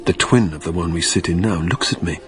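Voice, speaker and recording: Actor as a low-voiced weary businessman narrator. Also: faint music behind, close to an everyday voice. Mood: weary, wry. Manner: measured, wry, repetitive phrasing. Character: weary, flight-fatigued businessman narrating his haunted night. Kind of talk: scripted literary radio drama narration.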